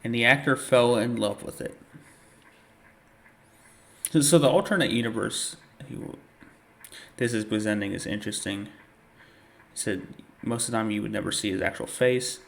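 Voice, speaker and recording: A man hosting a reaction video, casually commenting and reading captions aloud in a halting, thinking-aloud way. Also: fairly low pitch, vocal fry, a bit bright.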